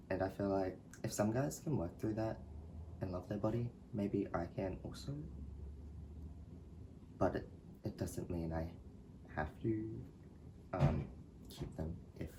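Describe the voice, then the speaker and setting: Young male vlogger, low mellow voice, slow hesitant delivery, light room noise. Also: poor audio. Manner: soft, hesitant, giggly. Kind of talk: personal vlog talk.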